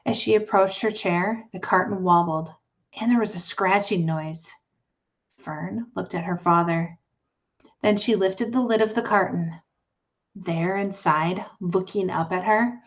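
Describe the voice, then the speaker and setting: A woman narrates a children's book with deliberate, careful phrasing. Her pitch is medium, and the lo-fi recording sounds slightly muffled. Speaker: storybook reader. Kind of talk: scripted children's story narration.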